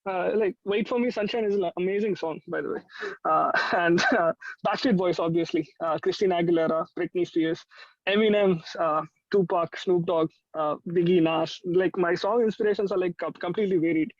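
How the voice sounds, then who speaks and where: A young man with an Indian accent talks in a high voice, casual and rambling with plenty of enthusiasm. The sound is dry, close and a little dull. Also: steady tone, brisk, dry recording. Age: young adult. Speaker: musician guest. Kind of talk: casual chat, reminiscing.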